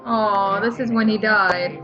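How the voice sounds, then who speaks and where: A woman's voice: mid-range voice, steady tone, takes it slowly. A woman co-hosting a let's play with casual, chatty commentary on a game, on a dull lo-fi recording. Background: game music.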